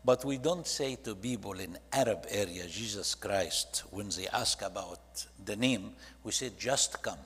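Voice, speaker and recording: Egyptian guy giving a church slideshow talk, kinda slow and halting english, big echoey hall. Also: on the low side, fairly bright tone, husky. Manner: halting, with short phrases and non-native English grammar. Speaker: church ministry leader presenting to a congregation. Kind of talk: presentation talk narrating photo slides.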